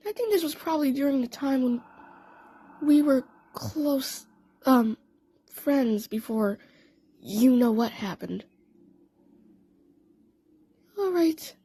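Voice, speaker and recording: A performer voicing a female cartoon cat scientist telling a story in a halting, rambling way, in a fan audio play. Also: high voice, lively intonation, mellow. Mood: hesitant, awkward.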